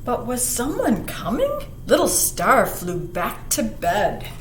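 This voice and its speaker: Slow-paced woman storyteller in an echoey room. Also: on the high side. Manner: expressive, asks listeners questions, drops into hushed asides. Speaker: children's library storyteller. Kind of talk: animated picture-book read-aloud with asides to young listeners. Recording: roomy echo, some background noise.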